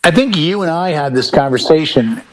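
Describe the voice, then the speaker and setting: A man's voice: fairly high pitch, animated, normal speed. A middle-aged American man in a casual panel chat, telling stories as a DJ and acting out bits of dialogue. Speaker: DJ and podcast panelist.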